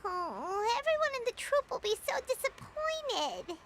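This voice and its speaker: A woman voicing a small childlike fairy companion in a video game, earnest, caring and expressive, with a squeaky voice. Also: unhurried, a little hiss or hum, full character voice. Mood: sympathetic, let down. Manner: expressive, refers to herself in third person. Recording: music behind the voice.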